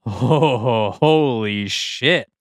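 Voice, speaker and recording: A man's voice: medium pitch, extremely slow, dry recording, studio quality. A man voicing a panicked young male thief who mutters breathless curses.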